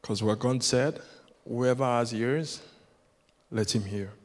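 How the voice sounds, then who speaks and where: A man's voice: mid-range voice, slow pace. A man whose first language is Yoruba, preaching a sermon as a guest preacher in an earnest, rhythmic way that builds with repetition. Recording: long hall reverb.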